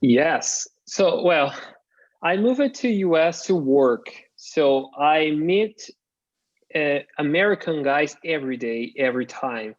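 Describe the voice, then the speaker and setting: Brazilian man, Portuguese native, slow and hesitant, muffled lo-fi audio. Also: a little high, animated. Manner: halting, searching for words. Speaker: student. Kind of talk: online class introduction.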